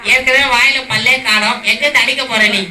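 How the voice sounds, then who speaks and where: Brief: mischievous boy puppet played by a woman, higher voice, taunting, far from the mic with noise. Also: fairly even intonation, quick pace, lightly acted. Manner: teasing, mischievous, laughing. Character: naughty boy Tinku, cheeky chicken thief. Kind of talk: children's puppet show. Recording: echoey hall, far mic, noisy.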